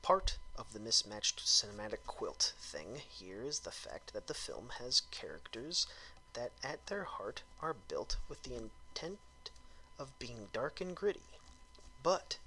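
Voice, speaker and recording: A man's voice: medium pitch, very animated, measured pace, bright, forceful tone, a little hiss or hum, poor audio. A man giving a comedic, theatrical dramatic reading of a written review, with exaggerated emphasis and phrases that drop into breathiness. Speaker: performer reading out a film review.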